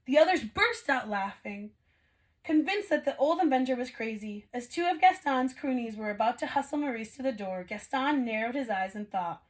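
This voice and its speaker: A woman who teaches kindergarten prep, reading a storybook to young children in an expressive, animated way. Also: fairly high pitch, moderate pace, low-quality recording. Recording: clear background noise.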